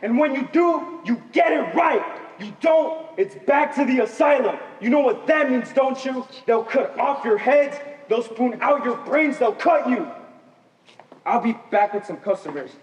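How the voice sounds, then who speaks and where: A man's voice: very high voice, expressive, unhurried. A male actor playing a bossy, cruel freak-show handler, commanding and mocking in theatrical stage style. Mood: threatening. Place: high school theater stage. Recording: echoey stage hall, faint music.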